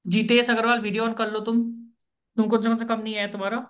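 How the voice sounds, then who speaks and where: A man's voice: sits high, little pitch movement, a bit bright. Man coaching students over a video call. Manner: brisk, instructive, repeats key points. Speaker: interview coach. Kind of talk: online coaching session.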